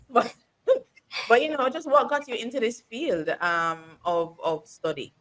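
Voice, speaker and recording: A woman's voice: fairly high pitch, sing-song, a little slow, a bit bright, low-quality recording. A woman hosting a podcast interview, asking questions with easy laughs. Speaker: podcast host.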